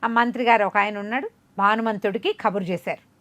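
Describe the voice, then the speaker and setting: A woman telling a folk tale in an animated, conversational way, with explanatory asides. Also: medium pitch, a little fast. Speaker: storyteller.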